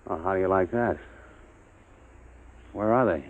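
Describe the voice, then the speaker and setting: A man's voice: low voice, measured pace. A man playing an impatient, grumbling spaceship officer in 1950s radio drama style. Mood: irritated. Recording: muffled phone-like old radio recording.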